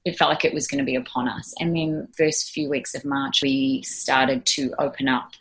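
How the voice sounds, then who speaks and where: A woman's voice: rumbling low, fairly even intonation, moderate pace, a little hiss or hum, low-quality recording. A woman in her thirties with a New Zealand accent, a nurse answering interview questions earnestly, with frequent fillers and restarts. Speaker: ICU nurse guest.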